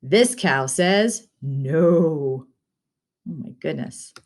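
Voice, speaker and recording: A woman reading a children's picture book aloud in a simple, deliberate, instructive way, putting questions to the listener. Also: sits low, expressive.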